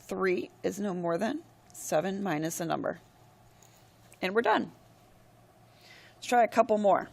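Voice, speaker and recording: A woman's voice: mid-range voice, measured pace, gravelly, long pauses. A woman math teacher who is losing her voice walks through a screen-recorded lesson step by step. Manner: steady, step-by-step explaining with frequent "all right, go ahead".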